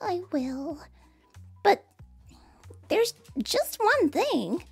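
A woman's voice: very high-pitched, big pitch swings, drawn-out words, vocal fry, dry recording, faint music behind, voice pushed up, exaggerated voice. A woman voicing a small male piglet in a children's storybook, plaintive and slyly innocent, with a squealing, coaxing delivery. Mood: meek. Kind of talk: children's picture-book read-aloud.

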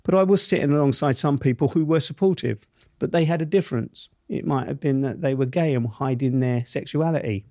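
A middle-aged man speaking in a South East London accent, unhurried and emphatic. He leans on key words with deliberate stresses. The recording is close and dry, with no room around him. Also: mid-range voice, dark tone, dry recording. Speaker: interview guest. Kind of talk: reflective interview answers.

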